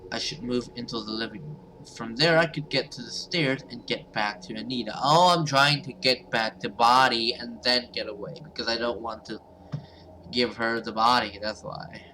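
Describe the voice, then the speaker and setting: A man's voice: mid-range voice, moderate pace, sharp tone, a little hiss or hum, poor audio. A man hosting a gaming video, reading story text aloud dramatically and reacting with casual asides.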